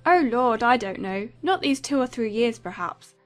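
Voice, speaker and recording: A woman's voice: on the high side, expressive, normal speed, soft background music, voice pushed up, lightly acted. A woman voicing a careless, flighty young married woman in a dramatized audiobook, breezy and flippant. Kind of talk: dramatized audiobook character acting.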